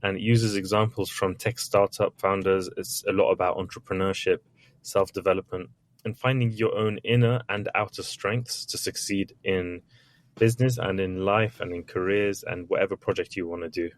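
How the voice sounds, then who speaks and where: A man's voice: low-pitched, fairly even intonation, normal speed. A man, an author and entrepreneur, answering interview questions in a candid, chatty way with frequent restarts. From Iraq, raised in England. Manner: candid, chatty, with many restarts and little acted quotes.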